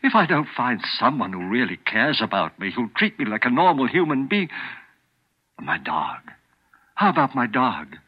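A frightened, ailing older man, pleads in a husky, lowish voice that climbs and falls with every worry. His talk is anxious and insistent. It comes through the muffled, lo-fi sound of a 1940s radio broadcast. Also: very animated, moderate pace. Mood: desperate. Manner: anxious and insistent, voice rising in panic. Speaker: narrator and lead character. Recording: dull lo-fi old radio broadcast.

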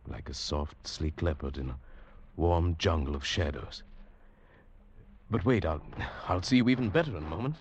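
A man's voice: extremely low pitch, lively intonation, moderate pace, a little mellow, slight background noise. An actor in a mid-century radio drama playing a troubled, spellbound man, intense and hesitant, also narrating dramatically. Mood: entranced, amorous. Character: troubled, spellbound Englishman drawn to a mysterious woman. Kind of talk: 1940s-50s radio drama acting and narration. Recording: old muffled radio broadcast with music.

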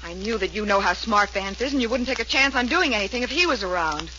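A woman's voice: medium pitch, lively intonation, fast, sharp tone. A muffled, hissy vintage radio recording of a brassy young American showgirl. She is slangy and scheming, with airs and a voice that swoops up and down. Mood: urgent, worried. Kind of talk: 1940s radio crime drama acting. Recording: muffled 1940s radio recording, noisy hiss.

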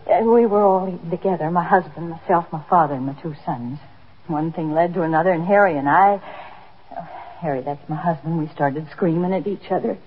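Actress as a worried housewife, old radio drama. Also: female voice, on the low side, brisk, lightly acted. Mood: explaining, uneasy. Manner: earnest, hesitant, pleading. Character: worried, remorseful housewife, daughter of an old man. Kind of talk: 1950s radio drama acting. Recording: dull, noisy 1950s radio recording.

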